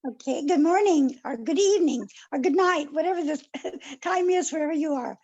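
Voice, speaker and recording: High, gravelly woman on a dull line. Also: a little fast, darkish tone. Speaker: guest introducing herself.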